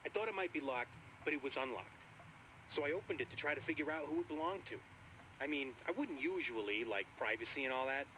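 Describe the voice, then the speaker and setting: An actor playing a creepy rideshare driver calling a radio show in a video game, chatty and unsettlingly fascinated. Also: male voice, on the high side, animated, quick pace, pushed and bright, slight background noise, rough recording. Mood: casual.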